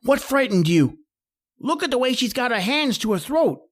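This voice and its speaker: Woman playing a brash, very animated young man. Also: fairly high pitch, moderate pace. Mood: urgent, alarmed. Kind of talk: old-time radio horror acting.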